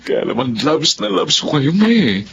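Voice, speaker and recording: A man's voice: on the high side, big pitch swings, a little slow, vocal fry, muffled recording, slight background noise, heavily acted. A man playing a devoted, affectionate young husband in an audio drama, earnest and playful. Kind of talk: audio drama acting with narration. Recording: loud music under voice.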